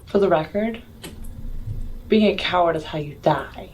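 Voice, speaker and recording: A woman's voice: on the low side, lively intonation, a little slow, darkish tone, a little hiss or hum, poor audio. A woman playing a tabletop role-playing game, improvising casually with blunt, bossy banter.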